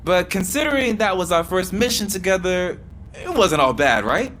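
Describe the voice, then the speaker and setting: A man's voice: sits high, big pitch swings, normal speed, fairly bright tone, slight background noise. An actor playing an earnest, eager rookie policeman in a video game, polite and slightly hesitant.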